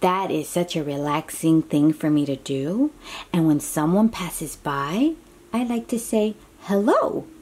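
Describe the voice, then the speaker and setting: A woman's voice: deep voice, animated, a little slow, darkish tone, breathy edge. A woman reading a picture book aloud to young children in an encouraging, exclaiming way, with chatty asides and questions to the listener.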